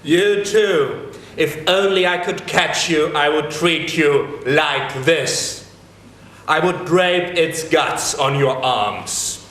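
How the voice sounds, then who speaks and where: A man reading the part of a fierce, troubled wild hero in a dramatic reading, slow and measured. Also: on the high side, a bit bright. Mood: taunting. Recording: echoey room with faint ambience.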